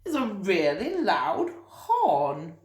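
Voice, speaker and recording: Woman acting out eager storybook lady, determined, musing aloud, drawn-out words, swooping pitch, echoey room. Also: wildly swooping pitch, heavily acted. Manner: emphatic, drawn-out declarations. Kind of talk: children's storybook character voice. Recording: roomy, echoey room sound.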